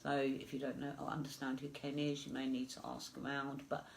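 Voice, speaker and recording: A woman's voice: very deep voice, completely flat delivery, a little fast, gravelly. A woman with a stuffed-up voice from a flu, giving a chatty live psychic reading with many fillers. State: congested with flu. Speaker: psychic medium.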